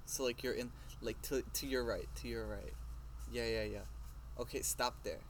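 A man's voice: mid-range voice, fairly even intonation, moderate pace, a little hiss or hum, poor audio. Easygoing young man chatting outdoors. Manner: easygoing, filler-heavy with lots of "like" and "you know". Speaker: podcast co-host. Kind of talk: casual chat. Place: outdoors near trees.

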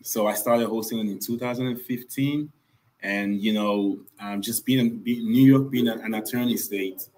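A man's voice: lowish voice, fairly even intonation, normal speed, a little mellow, rough recording. A young man from Nigeria, a guest on a podcast, telling his story in an earnest way and repeating points for emphasis. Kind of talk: casual podcast interview.

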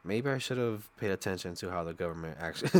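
A man's voice: fairly low pitch, expressive, fairly quick, darkish tone. A man hosting a casual chat as a streamer, laid-back and often laughing as he talks. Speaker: streamer host. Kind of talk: casual conversation.

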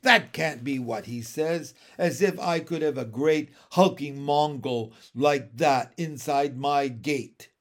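A man's voice: fairly high pitch, big pitch swings, slow, few pauses, full character voice. A man voicing a fussy, haughty lady dog owner in a storybook, indignant and wailing in her exclamations.

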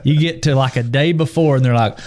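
A man's voice: medium pitch, a little fast, darkish tone. A man who founded a faith-based running ministry, chatting casually and reading a sponsor spot in an easygoing, joking way with many fillers. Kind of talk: casual chat and sponsor read.

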